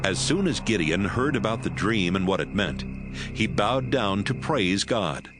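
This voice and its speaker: A male narrator with a fairly low voice reads a scripted, dramatized scripture story. Music plays clearly behind him throughout. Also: lively intonation, unhurried.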